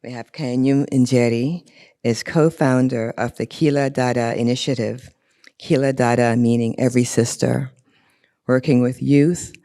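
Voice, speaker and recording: Woman, moderator, warm mellow tone, gracious, light humor. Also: a bass voice. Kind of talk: panel introductions.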